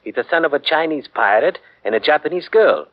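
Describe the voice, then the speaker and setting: An actor playing an earnest Dutch army colonel in a 1940s radio drama, urgent and earnest. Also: male voice, fairly high pitch, expressive, fairly quick, natural voice. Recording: muffled 1940s radio broadcast.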